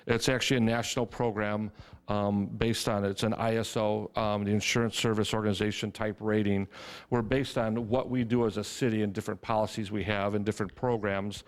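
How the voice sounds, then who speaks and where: A man with a lowish voice presents a public works budget to a city council. He explains steadily and fills his pauses with many hesitations. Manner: steady, filler-heavy explaining. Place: council chamber.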